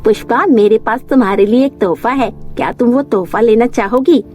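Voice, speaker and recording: A jealous young cartoon villainess voiced by a woman narrator speaks in a high but soft-edged voice. She is measured and scheming, with a false sweetness, over light music. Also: natural voice. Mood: falsely sweet. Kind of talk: Hindi animated fairy tale voice acting.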